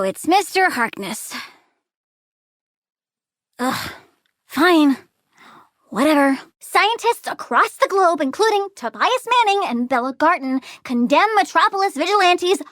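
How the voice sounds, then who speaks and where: A woman's voice: high-pitched, fairly bright tone, dry recording, clean studio sound, heavily acted. A woman playing a precocious young girl plant scientist and budding supervillain in a video game, chirpy and smug with childlike know-it-all menace and teenage sarcasm. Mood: petulant, then earnest lecturing. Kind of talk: video game character voice lines.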